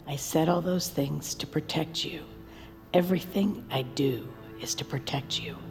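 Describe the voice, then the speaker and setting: An actress playing an anxious, protective middle-aged mother in an audio drama, hushed, soothing and earnest. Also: deep voice, measured pace, creaky, faint music behind. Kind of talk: naturalistic audio drama acting. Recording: long reverberant hall echo.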